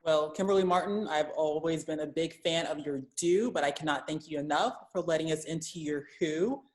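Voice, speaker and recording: A woman's voice: low voice, a little fast. A woman hosting an interview, closing it with a short thank-you. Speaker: interview host. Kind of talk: interview host.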